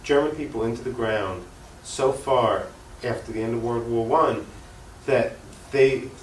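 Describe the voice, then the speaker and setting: A man's voice: mid-range voice, unhurried. An American man speaking earnestly and emphatically as an activist guest in an unscripted political interview. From United States. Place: echoey room, cable TV interview. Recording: echoey room, distant mic, faint noise.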